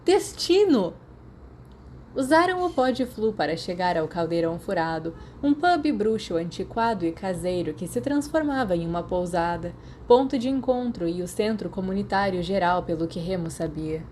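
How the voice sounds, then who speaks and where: Brazilian woman narrating over clear background noise. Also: on the low side, expressive, normal speed, darkish tone. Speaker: podfic narrator. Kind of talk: scripted audiobook narration of fan fiction.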